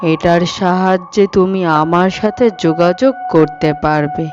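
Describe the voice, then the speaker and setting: A woman's voice: on the low side, unhurried, voice pushed down, close to an everyday voice. A woman voicing a mysterious, solemn blue whale who gives instructions in a children's cartoon dream. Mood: solemn, instructive. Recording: muffled sound with music behind.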